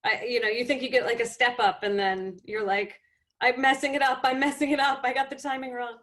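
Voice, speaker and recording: A woman's voice: fairly high pitch, little pitch movement, brisk, a bit bright. A woman chatting casually and informally on a video call. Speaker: cast member on a reunion panel. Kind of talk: casual chat.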